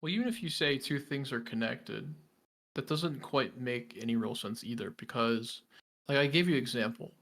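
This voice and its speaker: A man's voice: mid-range voice, normal speed, a bit bright. A man in a casual voice chat, insistent and argumentative, repeating himself to press his point. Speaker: learner on a study stream.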